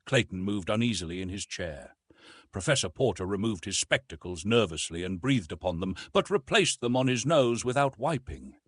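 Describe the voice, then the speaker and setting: A man's voice: lowish voice, lively intonation, normal speed, breathy edge, dry recording, clean studio sound. A man reading an adventure novel as a narrator, in a dramatic scripted style. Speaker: audiobook narrator.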